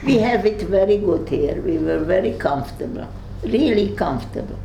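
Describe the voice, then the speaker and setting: An older woman with a Hungarian accent, recounting memories to young visitors, halting and searching for words. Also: medium pitch, animated, warm tone, roomy sound, a little hiss or hum, poor audio. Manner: halting, searches for words, many fillers and restarts. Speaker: Holocaust survivor speaking to visitors. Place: room in her home.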